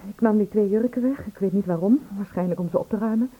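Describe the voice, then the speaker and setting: A woman's voice: medium pitch, fast, close to an everyday voice. An actress playing an anxious woman in a radio play, speaking in a hesitant, confiding way. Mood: shaken, worried. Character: anxious, confiding woman, shaken witness to a murder. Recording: tinny, narrow-band old radio recording.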